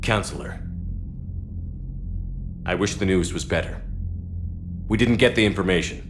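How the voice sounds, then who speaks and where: Low-voiced battle-weary military commander. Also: male voice, a little slow, background noise. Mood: grave, regretful. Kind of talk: dramatic video game acting.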